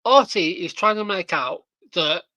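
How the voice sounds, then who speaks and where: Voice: medium pitch, a little slow, bright, forceful tone, dry recording. A podcast host in a casual reaction chat, rambling and profane with many fillers.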